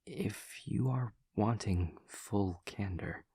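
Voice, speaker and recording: A man voicing an awkward, earnest demon classmate in an audio roleplay, speaking in halting, formal, overly literal phrases with a husky voice. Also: fairly low pitch, warm tone, dry recording, clean studio sound, heavily acted. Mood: gentle, halting reassurance. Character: awkward, earnest male demon classmate who senses emotions. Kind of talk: scripted audio roleplay to the listener.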